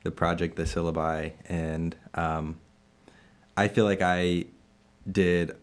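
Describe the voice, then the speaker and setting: Very deep-voiced young man, halting. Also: flat delivery. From Illinois, USA. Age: 20s. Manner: halting, many fillers and restarts, laughs often. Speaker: interviewed staff member. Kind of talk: casual interview.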